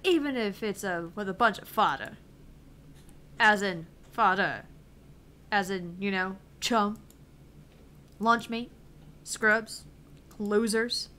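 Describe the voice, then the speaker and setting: A woman's voice: medium pitch, slow, pauses often, bright, forceful tone. A woman voicing a brash, cocky, foul-mouthed female game streamer in a visual novel, with taunting, theatrical delivery. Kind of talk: visual novel read aloud in character.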